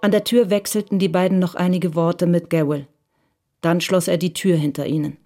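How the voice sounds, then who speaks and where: A woman narrates a crime radio play in a scripted, literary style. Her voice sits fairly low, with music clearly playing behind it. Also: steady tone, moderate pace.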